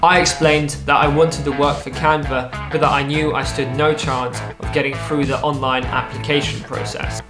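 A young man narrating a personal story in a vlog, lively and wry, with playful asides. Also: a little high, reverberant. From England. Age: young adult. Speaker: vlogger. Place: small room with a desk lamp, indoors.

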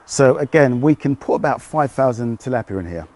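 A middle-aged British man, a fish farmer, explaining his work outdoors in a relaxed, hesitant way. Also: mid-range voice, fairly quick. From United Kingdom. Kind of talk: casual interview answers.

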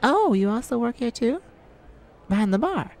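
A woman's voice: a little high, sing-song, moderate pace, a little mellow. A woman voicing a shy, sweet, easily flustered young male singer in an ASMR roleplay, with a stammering, earnest manner. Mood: sweet, bashful.

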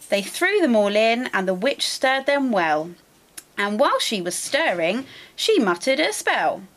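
A woman reading a rhyming children's picture book aloud in an expressive, deliberate, acted-out way. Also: on the high side. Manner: animated, deliberate, acts out the story. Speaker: storybook reader.

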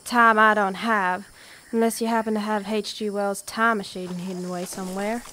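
An actress playing a polite young assistant new to shooting, with a dry wit. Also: female voice, medium pitch, fairly even intonation, normal speed, bright, forceful tone, close to an everyday voice. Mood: wry. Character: earnest young woman assistant, new to guns.

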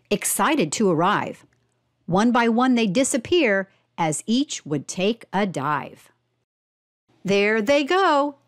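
High, lively woman reading a storybook aloud. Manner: expressive, addresses young listeners with questions. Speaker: read-aloud narrator and presenter. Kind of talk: children's storybook read-aloud, instructive.

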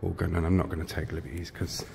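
A man filming a casual walking tour of a church, chatting politely and thinking aloud, sometimes dropping to a hush. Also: deep voice, flat delivery, fast, creaky, background noise. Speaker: vlogger giving a walking tour. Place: large church interior and crypt.

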